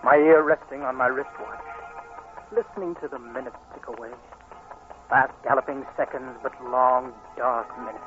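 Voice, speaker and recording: A man's voice: mid-range voice, natural voice. An actor playing an anguished, restless blind man in a dramatic 1940s radio style, with brooding delivery. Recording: muffled, noisy 1940s radio recording.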